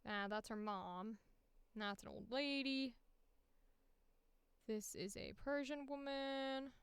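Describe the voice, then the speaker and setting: A woman's voice: high voice, takes it slowly, clean tone, no room sound. A woman chatting casually to herself and her livestream as she works, in a playful, offhand manner. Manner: playful self-talk with sing-song filler noises. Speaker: voice actor and streamer recording auditions. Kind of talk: casual livestream chat while working.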